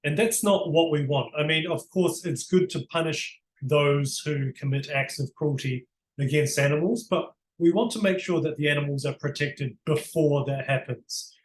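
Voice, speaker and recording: A man's voice: fairly high pitch, normal speed, roomy sound, low-quality recording. A man with a New Zealand accent, answering interview questions in an earnest, explanatory way with frequent restarts. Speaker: barrister, interview guest. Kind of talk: conversational interview.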